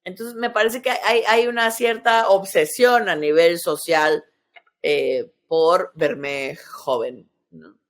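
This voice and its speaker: A woman's voice: lowish voice, fairly even intonation, slow pace. A woman in her forties with a Mexican accent speaks as a podcast host. She explains things conversationally, step by step, and often adds short questioning tags.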